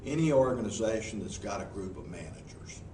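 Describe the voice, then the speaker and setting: A man's voice: on the low side, animated, a little fast. Man, Southern accent, professional speaker, folksy, restarts, distant mic, roomy noisy recording. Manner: folksy and earnest, with frequent restarts. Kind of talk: answering interview questions before a group. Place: meeting room with an audience. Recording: echoey room, mic far off, noisy.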